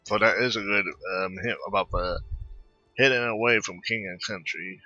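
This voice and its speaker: Male gamer, lowish and very sharp-edged voice, halting casual commentary that stumbles and rambles, dull lo-fi mic. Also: steady tone, no room sound, faint music behind. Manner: halting, rambling, trips over words. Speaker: gamer recording a playthrough. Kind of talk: casual gameplay commentary, muttering and reading text aloud.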